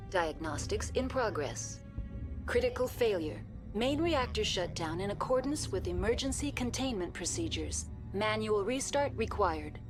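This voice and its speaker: A woman voicing a polite, unemotional female computer intelligence in a video game, speaking in formal, procedural system announcements. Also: medium pitch. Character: polite, unemotional female AI running a research station. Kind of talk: scripted video game dialogue.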